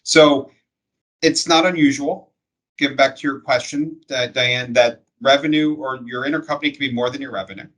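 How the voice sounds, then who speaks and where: A man's voice: mid-range voice, steady tone, normal speed, a bit bright, low-quality recording. A man speaking as an industry expert, explaining things conversationally in plain, step-by-step terms.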